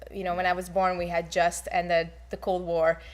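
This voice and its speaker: A woman's voice: on the low side, monotone, moderate pace. A young woman from Hungary, speaking candidly with many fillers as she shares her personal testimony. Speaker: guest.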